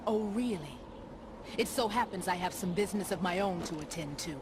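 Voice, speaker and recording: An actress playing a cool, defiant mercenary in a video game cutscene, suspicious, sardonic and cutting. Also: female voice, fairly low pitch, a little fast, background noise, lightly acted. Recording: very loud music over the dialogue.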